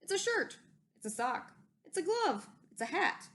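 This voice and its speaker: A woman's voice: very high-pitched, sing-song, moderate pace, a bit bright, slight background noise, voice pushed up, exaggerated voice. A woman voicing an old male inventor in a storybook, eager, emphatic and self-justifying. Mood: defensive, boastful.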